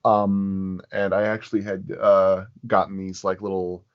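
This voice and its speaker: A man chatting casually as an interview guest, explaining his work in a halting, rambling way. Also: low voice, little pitch movement. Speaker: sound designer, podcast guest. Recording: dull, lo-fi audio.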